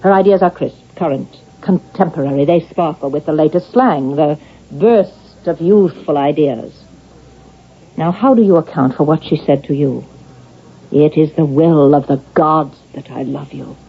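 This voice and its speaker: A woman acting in a vintage radio drama as an insistent psychiatrist, measured and deliberate as she questions a suspect. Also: low-pitched, breathy edge, heavily acted. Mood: pressing, analytical. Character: probing, insistent psychiatrist questioning an accused killer. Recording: dull old radio drama recording.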